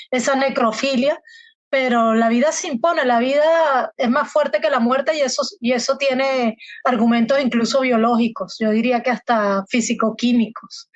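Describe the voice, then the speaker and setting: Woman, from Colombia, emphatic, rhetorical questions, high pitch, dry, muffled lo-fi sound. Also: no room sound. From Colombia, raised in Venezuela. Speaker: guest analyst. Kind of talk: earnest interview answers.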